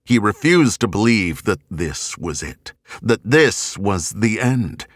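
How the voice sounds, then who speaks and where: A man narrating an audiobook novel in a grave, measured, dramatic way with deliberate pauses. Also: on the low side, big pitch swings, dry recording, clean studio sound. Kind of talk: dramatic scripted narration of a science fiction novel.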